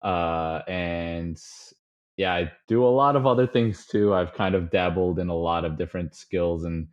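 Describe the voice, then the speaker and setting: A young man from the Chicago suburbs, chatting as a podcast guest in a relaxed, rambling way with lots of fillers. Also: low-pitched, unhurried. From suburbs of Chicago, USA. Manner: relaxed, rambling, many fillers, chuckles often. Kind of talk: casual interview conversation. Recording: slightly dull lo-fi audio.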